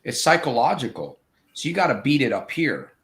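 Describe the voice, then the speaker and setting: Host type, fifties, Connecticut lilt, easygoing coach who talks things through. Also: male voice, mid-range voice, very animated, fairly quick, a bit bright. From Connecticut, USA. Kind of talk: casual interview chat.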